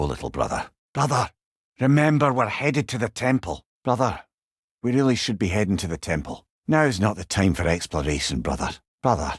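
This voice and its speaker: Man voicing wry old Scottish sage, very expressive. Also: medium pitch, dry recording, studio quality, lightly acted. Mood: consoling, then guiding, calm. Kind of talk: video game character dialogue.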